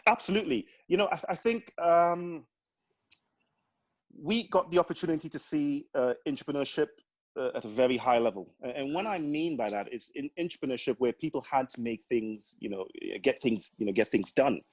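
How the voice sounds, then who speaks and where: A man's voice: mid-range voice, fairly quick, a little mellow, no echo. A man from Grenada with a Caribbean accent, chatting earnestly as a guest, often restarting his words. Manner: earnest, with many repeated restarts. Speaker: guest, accountant. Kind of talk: casual interview chat.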